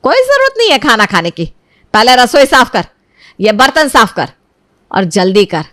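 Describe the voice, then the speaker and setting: A woman's voice: a little high, very animated, normal speed, a bit bright, no room sound, clean studio sound. A woman voicing a vengeful, bullying older mother-in-law who scolds and accuses, in a moral story cartoon. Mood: harsh scolding. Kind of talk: acted dialogue in Hindi moral story cartoon.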